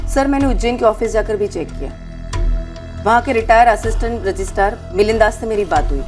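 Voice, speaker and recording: Composed female officer, played by an actress, crime drama. Measured, probing, matter-of-fact, light music bed. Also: a little high, close to an everyday voice. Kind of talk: television crime drama dialogue. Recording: background music under dialogue.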